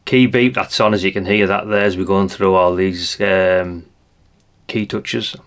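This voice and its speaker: Low-voiced, gravelly older man. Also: fairly even intonation, measured pace, a bit bright. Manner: chatty, unscripted, thinking aloud with tag questions. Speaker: presenter of a radio gadget review channel. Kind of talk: casual hands-on product demonstration.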